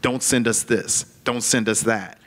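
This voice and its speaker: A man's voice: medium pitch, steady tone, unhurried, a bit bright. A man on a film panel speaking earnestly about his experience, with many "you know"s. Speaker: actor and panelist. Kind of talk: panel discussion remarks.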